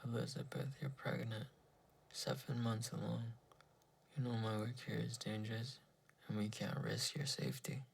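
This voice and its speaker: A man acts out an earnest scientist husband in a dramatized horror tale. His voice is heavily gravelly and rather husky. He speaks insistently and soothingly, as if persuading someone dear. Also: medium pitch, moderate pace. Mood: tense, hushed. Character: earnest male scientist husband pleading and reassuring his wife. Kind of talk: dramatized horror narration dialogue.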